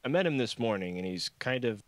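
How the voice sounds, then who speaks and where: A man voicing an awkward, tired young male janitor in an audiobook, hesitant and halting. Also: mid-range voice, expressive, no room sound, natural voice. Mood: evasive.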